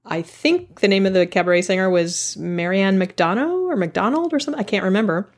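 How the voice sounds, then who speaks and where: A woman's voice: mid-range voice, a little fast. A woman hosting a podcast, talking in a casual, enthusiastic way and laughing often. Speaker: podcast host.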